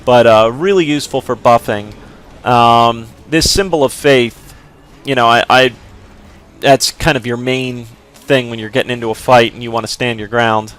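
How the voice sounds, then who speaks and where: A man's voice: medium pitch, steady tone, moderate pace, fairly bright tone. Rambling man narrating his gameplay. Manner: rambling, lots of fillers and hedges. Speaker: gameplay commentator. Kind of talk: casual game walkthrough commentary.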